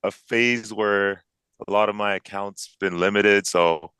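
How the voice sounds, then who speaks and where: A Canadian man in his early thirties chatting casually as an interview guest, using relaxed slang. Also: lowish voice, measured pace, smooth, no room sound. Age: early 30s. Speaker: podcast guest, sports bettor and accountant.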